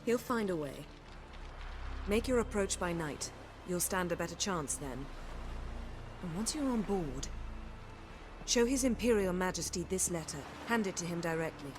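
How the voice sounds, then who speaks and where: Dry sarcastic sorceress, calm and bossy, noisy game audio, woman doing it. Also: lowish voice, moderate pace, long pauses, close to an everyday voice. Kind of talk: video game cutscene acting.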